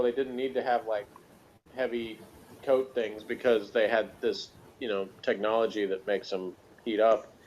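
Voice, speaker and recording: A man's voice: on the low side, darkish tone, a little hiss or hum, poor audio. A man chatting casually as a reviewer, rambling and jokey, with pop-culture asides. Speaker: podcast reviewer.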